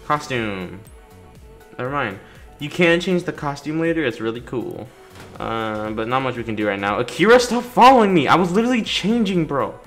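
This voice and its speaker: Animated, chatty man commentating a video game. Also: mid-range voice, normal speed. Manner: chatty, slangy, playful, talks to game characters. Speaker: gaming YouTuber doing a playthrough. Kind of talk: casual gameplay commentary. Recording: light game music behind. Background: video game music.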